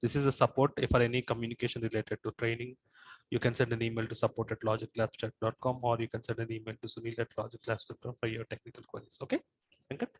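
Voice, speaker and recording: A man's voice: mid-range voice, little pitch movement, quick pace, no echo, rough recording. Reassuring male trainer on a video call. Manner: reassuring, repeats short phrases. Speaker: online course trainer. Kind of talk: live online class Q&A.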